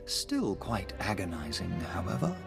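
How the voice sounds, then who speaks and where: An actor playing a cold, cruel Sith overseer in a video game, commanding and condescending. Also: male voice, sits low, very animated, normal speed, vocal fry, heavily acted. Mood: coldly instructive. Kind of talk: video game villain acting. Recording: long hall reverb, music underneath.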